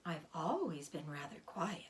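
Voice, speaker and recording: A woman voicing a gentle, weary, dying female spider in a children's storybook, softly and slowly, often near a whisper. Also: deep voice, big pitch swings, pitched below the performer's own voice. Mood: tired, peaceful. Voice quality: soft, breathy, often whispered. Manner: slow, measured, soothing delivery. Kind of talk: children's storybook read-aloud.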